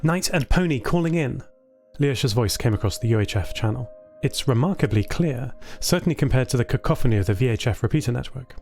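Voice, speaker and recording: A male narrator tells a scripted first-person story in a middle-pitched voice. He plays a thoughtful artificial intelligence who cares about others. Music runs underneath. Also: fast talker, creaky, few pauses, dark tone. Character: thoughtful, caring artificial intelligence built for communication. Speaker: narrator of an audio fiction podcast. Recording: voice over a music bed.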